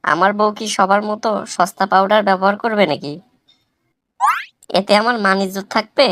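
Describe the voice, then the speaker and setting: A woman playing a placating, boastful husband in a comic cartoon, coaxing and flattering with a rural Bangladeshi accent. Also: medium pitch, normal speed, natural voice. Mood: boastful, agreeable. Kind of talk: melodramatic comic cartoon dialogue.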